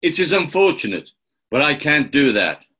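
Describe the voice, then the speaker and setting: A man playing a pompous, gloating gangster boss with a gravelly voice in a radio play. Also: on the high side, sing-song, sharp tone, heavily acted. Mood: smug, menacing. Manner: slow, grandiose, theatrical. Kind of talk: 1940s-style radio play acting.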